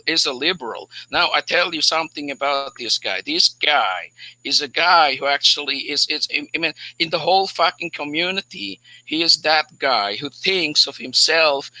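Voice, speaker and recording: Bright-voiced man ranting, stammering. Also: a little high, no room sound, rough recording. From Switzerland. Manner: ranting, profane, stammering repeats. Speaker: livestream guest. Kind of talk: heated livestream argument.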